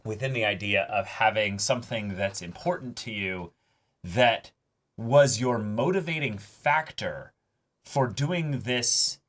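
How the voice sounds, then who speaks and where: A man's voice: on the low side, slow, a bit bright. A man hosting a reaction show, discussing an episode casually and earnestly, often searching for his words.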